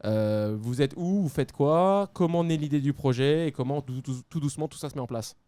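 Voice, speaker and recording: A man hosting a casual podcast interview, chatty and quick, slipping English words into his French. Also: medium pitch, warm tone. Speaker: podcast host.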